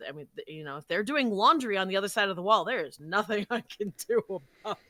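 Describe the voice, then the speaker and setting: A woman voice actor with a low voice for a female, chatting casually as an interview guest, recorded in a closet booth on a close microphone. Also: expressive, quick pace, pushed and bright, no room sound. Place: walk-in closet used as a home recording booth. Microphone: close studio microphone.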